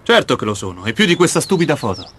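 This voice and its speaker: A man playing a calm, sensible teenage soccer captain in an anime dub, composed and matter-of-fact. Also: medium pitch, quick pace, noisy background, lightly acted. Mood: dismissive. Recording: music behind the voice.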